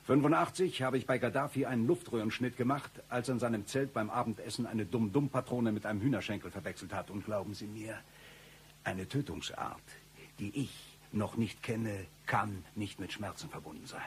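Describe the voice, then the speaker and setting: An actor playing a boastful, menacing mercenary and former lecturer in a satirical radio play, with a pompous, theatrical delivery. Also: male voice, fairly low pitch, a little fast, a little hiss or hum, close to an everyday voice.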